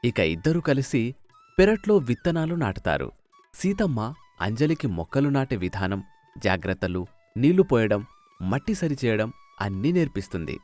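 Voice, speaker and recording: Male narrator, medium pitch, animated intonation, scripted storytelling delivery. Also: moderate pace, long pauses, faint music behind.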